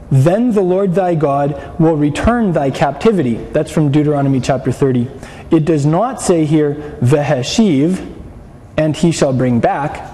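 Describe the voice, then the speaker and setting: Male teacher, mid-high but dark-toned voice, lecturing and quizzing the class, reverberant hall with faint noise. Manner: explanatory, asks the class questions, frequent restarts. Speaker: Bible teacher.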